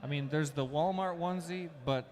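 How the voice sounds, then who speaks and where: A man's voice: fairly high pitch, normal speed, a little mellow. A man announcing a live drone race, teasing pilots and making jokes. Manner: joking, teasing, playful announcer.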